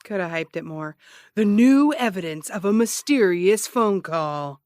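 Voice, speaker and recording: An actress playing a brash, dogged ex-cop turned private detective in an audio drama, with a wry, insistent, teasing way of talking. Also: female voice, medium pitch, animated, a little slow, darkish tone, no echo, clean studio sound, lightly acted. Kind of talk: naturalistic audio drama dialogue.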